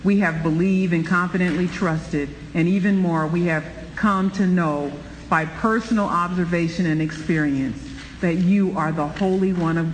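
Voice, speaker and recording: A man leading a church Bible study, preaching with animated, exclaiming energy. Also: on the high side. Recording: roomy reverb, light background noise.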